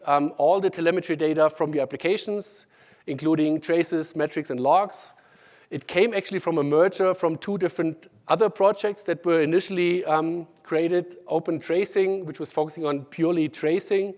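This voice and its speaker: A man from Germany with a German accent, giving a conference tech talk, halting with many ums and restarts. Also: on the high side, darkish tone. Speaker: conference speaker. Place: large conference hall. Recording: hall reverb.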